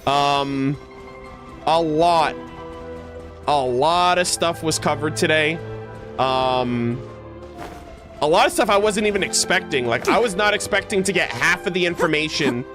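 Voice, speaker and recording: A man's voice: on the high side, a little slow, pauses often, fairly bright tone. A man streaming a game, reading text aloud and breaking it down casually in gamer slang with emphatic restatements. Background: background music.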